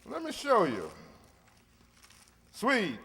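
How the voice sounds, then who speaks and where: A man's voice: sits high, wildly swooping pitch, extremely slow, creaky, sharp tone, exaggerated voice. A man playing a cocky drug dealer with a persuasive, chummy manner in an old-time radio crime drama. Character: smooth-talking male drug dealer, placating and cocky. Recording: echoey hall.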